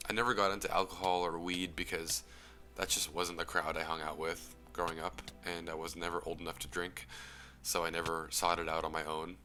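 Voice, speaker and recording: A young man with a very low voice speaks earnestly and reflectively, his phrases often trailing into soft, breathy whispers. Clear background music plays beneath him throughout. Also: flat delivery, a little fast. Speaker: YouTube commentator. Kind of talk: earnest personal commentary. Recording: music playing under the voice.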